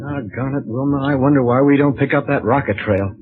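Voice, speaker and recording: Male actor as eager American space hero, mid-pitched, folksy, narrow noisy vintage radio recording. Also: fairly quick, close to an everyday voice. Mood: puzzled. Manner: punchy, folksy exclamations. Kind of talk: 1930s radio serial acting. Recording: tinny 1930s radio recording, faint noise.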